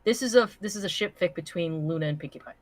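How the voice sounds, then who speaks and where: A woman chatting casually and profanely on a livestream, joking in an animated way and reading out comments. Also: lowish voice, fast, no room sound. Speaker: livestream co-host.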